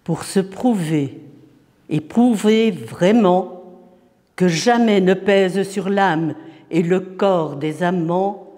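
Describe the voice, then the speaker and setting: High-pitched man reciting poetry in reverberant hall. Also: a little mellow. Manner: declamatory, deliberate, phrase by phrase with pauses. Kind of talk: dramatic French poetry recitation. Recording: long reverberant hall echo.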